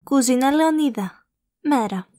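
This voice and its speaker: A woman's voice: high voice, expressive, mellow. A woman narrator giving short, scripted scene settings for an audio drama.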